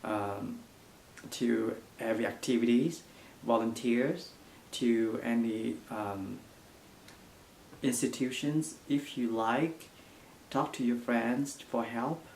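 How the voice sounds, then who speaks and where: A young man from Vietnam with a Vietnamese accent, answering interview questions in halting English full of fillers. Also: medium pitch, vocal fry, darkish tone, slight background noise. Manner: halting non-native English with frequent fillers. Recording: echoey room.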